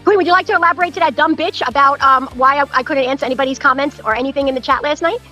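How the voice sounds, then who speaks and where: A woman's voice: sits high, racing, bright, forceful tone, muffled recording, soft background music. A woman in an informal argument, sounding defensive and combative and cursing freely.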